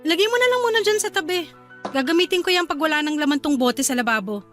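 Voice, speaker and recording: Young wife and mother, Filipino accent, very high pitch, sulky, hurt, pleading, radio drama, soft music behind. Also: fast. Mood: curt, withholding. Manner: terse, sulky, emotionally pleading.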